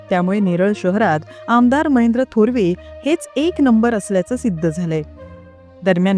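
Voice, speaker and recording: A news narrator delivers a scripted report. The pitch sits in a middle register, and music plays softly underneath.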